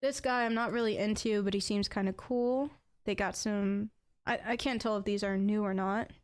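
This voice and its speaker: A young adult woman with an accent, chatting casually as a game streamer and bursting into excited exclamations. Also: mid-range voice, normal speed. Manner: chatty, bursts into excited "ooh"s over items. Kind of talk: casual live-stream chat.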